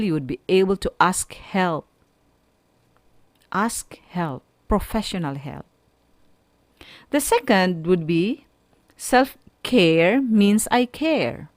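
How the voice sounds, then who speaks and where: A woman with a Filipino accent giving an instructional radio lesson to students in short, deliberate, encouraging phrases. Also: medium pitch, darkish tone, no echo. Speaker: radio teacher.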